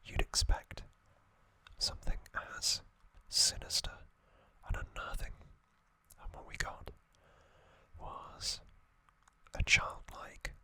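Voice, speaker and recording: A man whispering a calm trivia recap for an ASMR video close to the microphone, with soft ums and casual asides. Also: takes it slowly, long pauses. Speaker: ASMR channel host.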